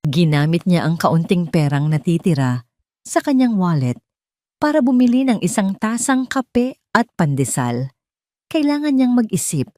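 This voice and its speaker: A woman's voice: fairly low pitch, sing-song, a little slow, darkish tone, no echo, clean studio sound. A woman reading a scripted dramatic story in an emotive storytelling manner. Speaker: story narrator.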